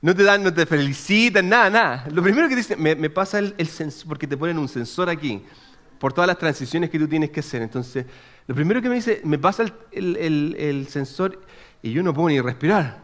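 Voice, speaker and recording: A man in his thirties from Chile with a Chilean accent, preaching in a chatty, animated way and checking in with his listeners as he tells a personal story. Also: fairly high pitch, brisk. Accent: Chilean Spanish. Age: 30s. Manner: chatty and animated, frequent restarts and "¿ok?" check-ins. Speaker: pastor.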